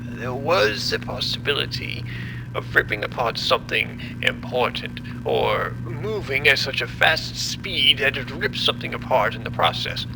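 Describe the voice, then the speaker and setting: An actor playing an arrogant, controlling scientist in an audio drama, speaking in a deliberate, theatrical, commanding way. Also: male voice, mid-range voice, expressive, gravelly, noisy background. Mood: defensive. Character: arrogant, controlling male scientist running a secret experiment.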